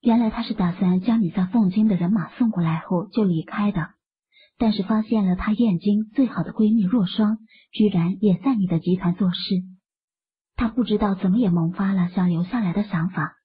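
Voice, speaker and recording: An actress playing a composed, soft-spoken young wife who explains things in an urban fantasy audiobook. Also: female voice, medium pitch, a little fast, husky. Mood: explaining. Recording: muffled, phone-like, lo-fi audio.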